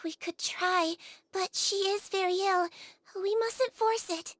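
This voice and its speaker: A woman's voice: extremely high pitch, takes it slowly, fairly bright tone, no echo, exaggerated voice. A woman voicing a small, childlike nurse creature in a video game, caring and earnest, speaking politely and with clinical care. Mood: concerned. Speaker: head nurse character.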